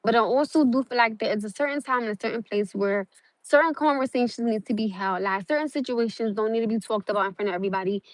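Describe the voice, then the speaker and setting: A woman's voice: a little high, fast, no echo, rough recording. A young woman from South Norwalk chatting casually to viewers on a phone livestream, rambling earnestly with lots of "like" fillers. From South Norwalk, Connecticut. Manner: rambling, repeats herself, many "like" fillers, earnest. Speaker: livestream host.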